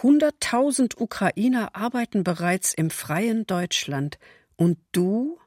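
A woman's voice: mid-range voice, animated, a little mellow, no room sound, studio quality. A woman playing a coaxing wartime propaganda announcer in a radio docudrama. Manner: slow, drawn-out. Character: coaxing female propaganda announcer recruiting workers.

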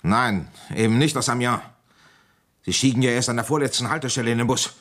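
A man's voice: mid-range voice, brisk, a bit bright, natural voice. A man playing a weary, suspicious police inspector with a probing manner in a German radio play. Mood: suspicious, pressing. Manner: probing, insistent. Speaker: detective and first-person narrator.

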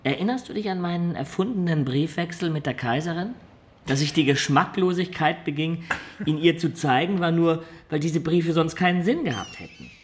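A man's voice: a little high, normal speed, a little hiss or hum, natural voice. An actor playing a wistful adventurer in a radio drama, recounting his life in a reflective, confiding way. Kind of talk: radio drama acting and storytelling.

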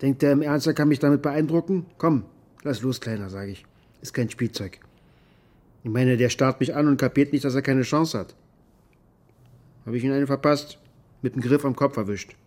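Male, actor as boastful aggressive uncle for radio drama: husky, coarse, contemptuous, taunting. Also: mid-range voice, normal speed, pauses often, a little mellow, lightly acted. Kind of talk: naturalistic radio drama monologue.

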